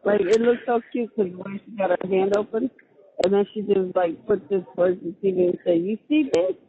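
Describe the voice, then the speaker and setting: A woman's voice: medium pitch, a little fast. A woman chatting casually with her partner over the phone in simple, agreeable everyday talk. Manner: brief, agreeing replies. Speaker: inmate's partner on a phone call. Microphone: telephone. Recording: muffled, band-limited recorded phone line.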